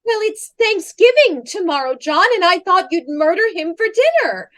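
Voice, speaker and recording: A woman's voice: very high-pitched, lively intonation, moderate pace, a bit bright. An actress playing a whiny, nagging wife who teases her husband in a comic radio play. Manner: whiny, plaintive, teasing.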